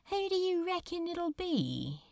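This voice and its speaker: A wry demon of a man, put on by a woman narrator, drawls through a storybook tale. He sounds nonchalant and irreverent, musing slowly with expressive dips in pitch. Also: vocal fry, dry recording, studio quality, exaggerated voice.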